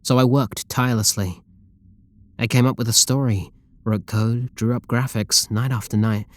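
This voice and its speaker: A man's voice: mid-range voice, steady tone, normal speed, dry recording, lightly acted. A man with a British accent playing an obsessive, possessive, lovestruck game developer in an intimate roleplay audio drama, doting and controlling. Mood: fervent, confiding. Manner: doting, intimate, pleading endearments.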